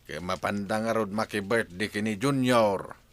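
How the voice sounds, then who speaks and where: A man's voice: lowish voice, moderate pace, a bit bright, close to an everyday voice. A man playing an easygoing village husband who protests and bickers in a radio drama, speaking with an Ilocano accent. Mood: mild.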